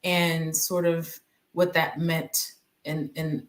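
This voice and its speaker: Woman, very low voice, reflective and hesitant, from Nigeria, interview guest. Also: level tone, takes it slowly. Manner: reflective, hesitant, with frequent fillers. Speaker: physician guest. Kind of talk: conversational interview.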